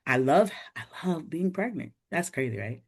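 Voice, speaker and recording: Animated woman in her forties telling a story. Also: low-pitched, normal speed, slightly rough. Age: 40s. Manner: animated, acts out quoted voices. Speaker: podcast guest. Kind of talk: casual storytelling chat.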